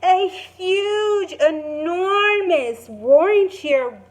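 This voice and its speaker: A woman teacher reading a story aloud to young children in an expressive, dramatic and emphatic way. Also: extremely high pitch, very slow.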